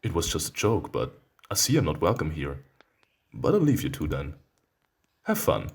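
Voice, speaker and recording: A man's voice: extremely low pitch, wildly swooping pitch, normal speed, vocal fry, slightly rough, pitched below the performer's own voice, exaggerated voice. A man voicing a pushy, joking male furry character in a visual novel, curt and stiffly offended.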